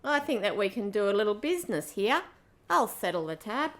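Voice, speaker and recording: A woman's voice: medium pitch, big pitch swings, brisk, fairly bright tone. A woman playing a brash, roguish space pirate queen in an amateur audio drama, with a brassy, casual manner and free swearing. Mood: businesslike, friendly.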